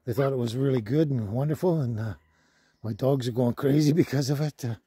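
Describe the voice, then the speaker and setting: A man's voice: medium pitch, a little fast, warm tone, no echo, studio quality. An older man chatting casually to camera in a hesitant, rambling way. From Canada. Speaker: vlogger, host. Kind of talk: casual unscripted vlog talk. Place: outdoors at a forest campsite and inside a vehicle canopy. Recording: clear background noise, handheld outdoor audio.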